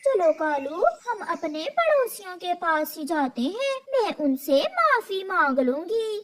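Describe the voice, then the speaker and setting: A woman's voice: very high-pitched, sing-song, takes it slowly, heavily acted. A woman voicing a quarrelsome but sociable female crow in a children's cartoon. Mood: regretful.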